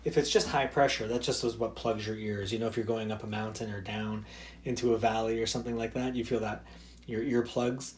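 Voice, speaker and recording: A male high school physics teacher talking through a recorded lesson in a casual, loose way, with lots of fillers and restarts. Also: fairly even intonation, brisk, slight background noise.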